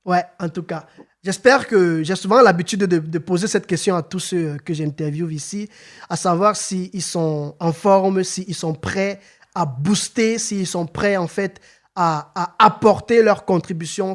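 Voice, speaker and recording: A man hosting a casual podcast interview, often stumbling over repeated words and filler phrases, now and then rising into an emphatic rallying tone. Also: sits high. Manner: hesitant, repeats words and says "en fait" often. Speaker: podcast host.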